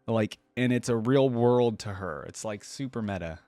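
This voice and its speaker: A man's voice: fairly low pitch, moderate pace, dark tone, dry recording. Informal man reacting, dry close sound. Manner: informal, rambling, enthusiastic. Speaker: reaction video host. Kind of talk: casual reaction commentary.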